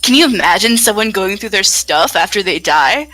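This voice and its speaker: A woman's voice: mid-range voice, animated, moderate pace, bright, forceful tone, rough recording. A woman voicing a friendly, awkward young man in a visual novel, with music behind her. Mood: amused, chatty.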